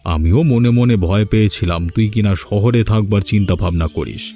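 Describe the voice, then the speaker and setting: A man playing a village gentleman and father in a cartoon folk tale, speaking with firm authority. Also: fairly low pitch, a little fast, faint music behind, lightly acted. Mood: pleased, fatherly. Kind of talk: Bengali fairy tale cartoon dubbing.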